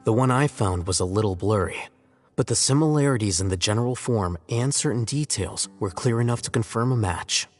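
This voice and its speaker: Calm researcher voiced by a man, lowish voice, measured, game music behind. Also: close to an everyday voice.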